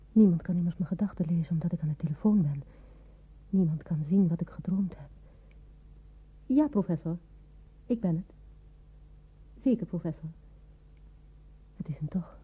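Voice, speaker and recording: A woman playing an anxious, timid hospital nurse in a radio drama, with hushed inner thoughts and dutiful replies, on a tinny old recording. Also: on the low side, slightly rough. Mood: nervously dutiful. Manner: hushed whispered asides between dutiful phone replies.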